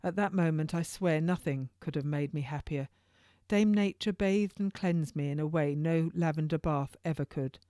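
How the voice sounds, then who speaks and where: A woman narrates a historical novel in the first person. Her voice sits fairly low, and she reads informally like an author sharing her own work, with the odd stumble. The sound is close and dry. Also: normal speed, few pauses, mellow, dry recording. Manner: informal read-aloud with occasional stumbles.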